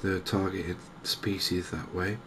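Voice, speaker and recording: English male, very low even voice, soft-spoken cozy gaming ASMR, clear ambient noise behind. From England. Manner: soft-spoken, gentle, unhurried. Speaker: gaming channel host. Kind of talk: softly spoken cozy ASMR game commentary.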